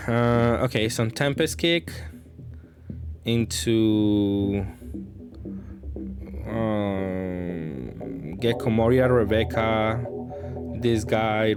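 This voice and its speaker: Low-voiced man commentating his card games. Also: slow, pauses often, music in the background. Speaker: card game streamer, player commenting on own games. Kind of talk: casual off-the-cuff gameplay commentary.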